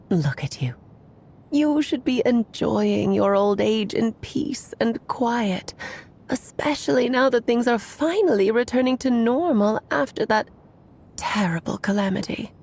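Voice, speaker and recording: Alice, a lilting fairy-tale mage. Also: female voice, on the high side, expressive, takes it slowly, breathy edge, exaggerated voice. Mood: caring. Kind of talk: story cutscene voice acting. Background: soft music.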